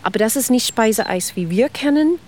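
A woman's voice: a little high, animated, moderate pace, a little mellow. Enthusiastic woman explaining. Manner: non-native German with grammar slips, enthusiastic, explains step by step. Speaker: ice cream history expert. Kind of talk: explanatory interview talk.

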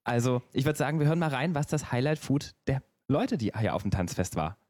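A man working as a radio reporter, explaining his festival interviews in a relaxed, casual way. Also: mid-range voice, brisk, dry recording.

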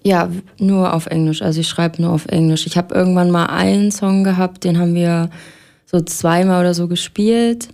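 Woman, young adult, low pitch, warm tone, musician guest, hesitant casual speech. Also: normal speed, creaky. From Erfurt, Germany. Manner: relaxed, hesitant with many fillers. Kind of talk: radio interview. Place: radio studio.

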